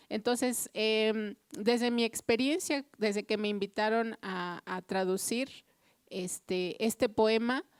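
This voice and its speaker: A woman whose mother tongue is Purépecha addresses an audience as a translator. Her voice sits fairly high and rings smooth and clear. The sound is dry and close, and she speaks with earnest, reflective pauses. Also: no echo. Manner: earnest, reflective, with frequent "eh" fillers. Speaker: translator at a book presentation. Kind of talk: formal public remarks. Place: official venue before an audience.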